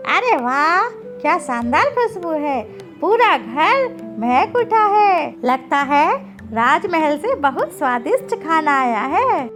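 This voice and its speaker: A woman's voice: high-pitched, sing-song, slow, a bit bright, faint music behind, exaggerated voice. A woman voicing a greedy, meddling old village woman in a cartoon, whining and wheedling. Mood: delighted. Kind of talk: animated cartoon story acting.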